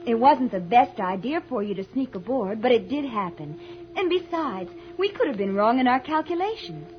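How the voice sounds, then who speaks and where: A scientist's level-headed young daughter. Her voice is high, earnest and reassuring, with vintage radio serial delivery. The recording is dull and old. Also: very animated, moderate pace. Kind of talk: 1950s radio drama acting.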